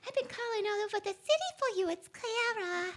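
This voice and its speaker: Comedy radio play: jealous little mouse wife, extremely high squeak, scolding. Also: female voice, lively intonation, fairly quick. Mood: suspicious, worried.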